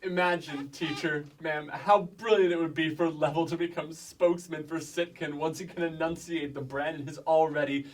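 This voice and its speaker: Man playing high-pitched timid, formal little boy. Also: steady tone, moderate pace, hardly any pauses, slight background noise, lightly acted. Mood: reverent. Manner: ornate, formal, melodramatic. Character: fearful, fawning kindergarten boy, easily horrified. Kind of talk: staged sketch comedy reading. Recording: distant stage mic.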